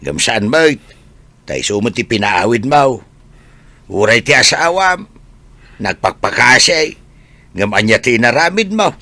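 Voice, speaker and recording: A dry, close-sounding and forceful older father, played by a man, in a story drama. He is stern and admonishing, with a sharp edge to his mid-pitched voice. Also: big pitch swings, unhurried, pauses often, dry recording, exaggerated voice. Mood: scolding.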